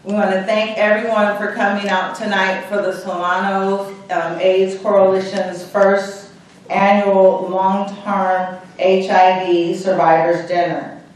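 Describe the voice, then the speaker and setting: A woman emceeing an event, reading an introduction haltingly and stumbling over words. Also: mid-range voice, little pitch movement, slight background noise. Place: event hall. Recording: echoey hall from far off.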